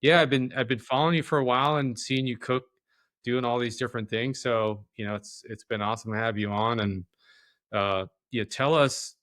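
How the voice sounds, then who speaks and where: A man's voice: medium pitch, a bit bright, dry recording. A man hosting a podcast, chatting informally and putting loosely phrased questions to a guest. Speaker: podcast host. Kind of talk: casual chat, interviewing.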